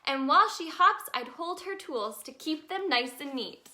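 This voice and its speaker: Bright, high-voiced woman reading children's stories. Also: measured pace. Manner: expressive, sing-song delivery for young children. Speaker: children's storybook reader, in a tooth fairy persona. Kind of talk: read-aloud children's story narration.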